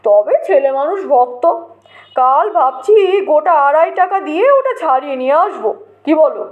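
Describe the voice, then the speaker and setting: Vain, huffy male poet for a comic audio story, high-pitched and self-important, played by a woman. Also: animated, moderate pace, narrow, tinny audio. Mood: boastful. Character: Rambabu, vain grumpy male poet, putting on airs.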